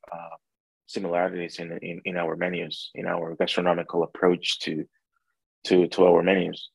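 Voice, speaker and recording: A man's voice: low-pitched, vocal fry, husky, dry recording, rough recording. A man from El Salvador with a Salvadoran accent, talking conversationally as an interview guest and often repeating words as he searches for phrasing. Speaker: interview guest, restaurant owner.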